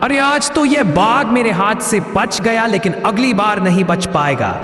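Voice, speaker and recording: Man as old cartoon lion king, raised pitch, proud, admonishing, commanding, reverberant recording. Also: animated, unhurried, fairly bright tone. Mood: frustrated, vowing. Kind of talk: animated moral story dialogue. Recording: echoey, long reverb.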